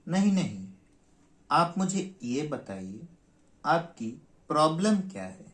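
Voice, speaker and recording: A man playing a long-winded helpline agent in a comic skit, formal and polite, with drawn-out pauses, in an echoing room. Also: a little high, sing-song, a crowd in the background. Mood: patient, unhurried. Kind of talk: comic stage skit acting. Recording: roomy, echoing sound.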